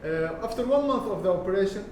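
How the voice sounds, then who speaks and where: A man whose first language is Arabic explains a hair care routine in English, his voice sitting high for a man. He stops and starts with lots of fillers as he picks his words. He sounds set back from the mic, in a strongly echoing room with faint noise underneath. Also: animated, darkish tone. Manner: halting, many fillers and restarts. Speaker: hair transplant consultant. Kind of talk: casual product explainer. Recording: echoey room, voice from far off.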